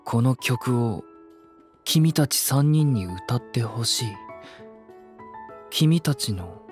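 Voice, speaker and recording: Cool haughty student, formal and stern, medium pitch but very expressive, audio drama. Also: male voice, slow pace, faint music behind. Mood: serious. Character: aloof, stern, proper teenage boy idol student. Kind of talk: Japanese anime-style audio drama acting.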